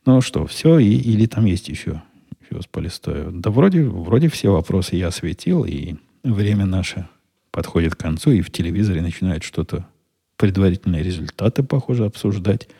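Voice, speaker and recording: A middle-aged man hosting a solo podcast, answering listener questions in a dry, wry, unhurried way. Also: deep voice, expressive, gravelly, dark tone, husky. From Russian-speaking country. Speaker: podcast host.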